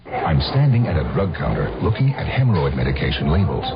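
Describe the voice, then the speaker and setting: A man's voice: extremely low pitch, big pitch swings, brisk. A man reading a scripted radio advertisement as a spokesman. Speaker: commercial spokesman. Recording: muffled radio ad with music.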